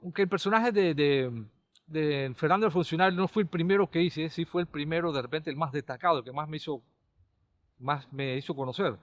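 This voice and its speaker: A middle-aged Panamanian man, a caricaturist and comedy writer, recalling his TV work in a relaxed, rambling way with many restarts. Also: a little high, brisk, no echo. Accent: Panamanian Spanish. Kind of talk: relaxed conversational storytelling.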